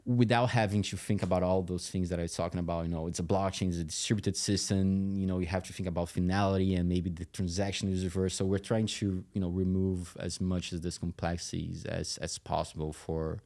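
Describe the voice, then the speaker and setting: A man explaining things conversationally as an interview guest, in a halting way with frequent asides. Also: low voice, little pitch movement, darkish tone. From Brazil. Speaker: tech company CEO, interview guest.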